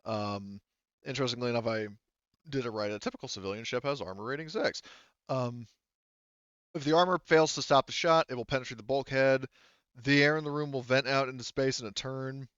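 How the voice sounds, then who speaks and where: A man's voice: mid-range voice, a little fast, fairly bright tone, smooth, no room sound, muffled recording. A man talking casually as game master of a tabletop game, informal and swearing freely. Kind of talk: casual tabletop game narration and rules talk.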